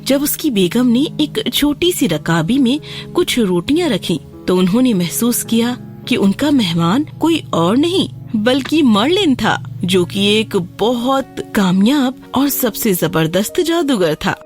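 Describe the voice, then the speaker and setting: A woman's voice: fairly high pitch, big pitch swings. A woman narrating a scripted fairy tale for children as a storyteller. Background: background music.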